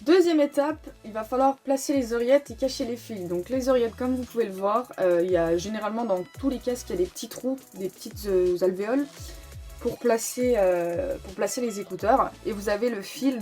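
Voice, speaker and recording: A woman's voice: a little high, a little mellow. A woman hosting a casual, informal step-by-step tutorial, explaining as she goes and announcing each step. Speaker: host of a motorcycle gear tutorial. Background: music.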